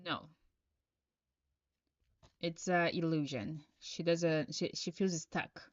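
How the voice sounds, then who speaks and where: A Brazilian woman with a lowish voice, speaking English as a second language, gives tarot answers to viewers of her live stream. She talks at a slow, halting pace, reading questions aloud before she answers. The sound is close and dry, a little dull and lo-fi. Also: fairly even intonation, no room sound. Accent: Brazilian Portuguese. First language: Portuguese.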